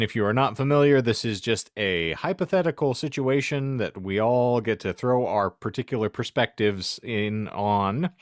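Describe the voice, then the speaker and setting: A man's voice: on the low side, dry recording, studio quality. A man hosting a casual podcast, bantering and reading aloud in a wry, mock-grandiose way. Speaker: podcast host.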